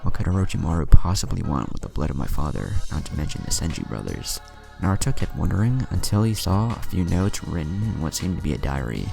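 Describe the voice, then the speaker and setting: A man reading a fan story aloud as a storyteller, with an even, unhurried delivery. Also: low-pitched, gravelly. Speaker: fan fiction reader. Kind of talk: storytelling narration. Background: soft background music.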